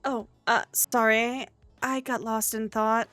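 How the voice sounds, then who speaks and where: A guarded young game heroine tells her own story as a woman reads her. The delivery is subdued and wry, a little awkward, in a bright voice with faint music underneath. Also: a little high, level tone, slow pace, no room sound. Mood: curious, pensive. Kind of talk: first-person visual novel narration.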